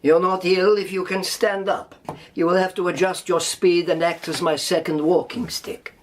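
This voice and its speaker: An actor in a radio drama playing a sardonic, battle-worn European refugee with a lame leg, speaking haltingly with mocking formality. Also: male voice, high-pitched, flat delivery, a little hiss or hum, lightly acted. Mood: wry, teasing.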